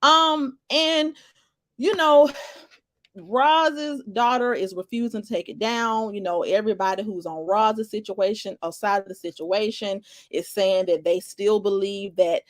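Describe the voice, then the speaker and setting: A woman's voice: medium pitch, measured pace, no room sound. A woman giving casual live commentary, greeting viewers and rambling through restarts and fillers. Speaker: livestream commentator.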